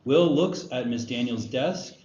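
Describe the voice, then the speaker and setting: A man's voice: medium pitch, animated, a little slow, a little mellow. A man narrating stage directions at a screenplay table read. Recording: echoey room, muffled, faint noise.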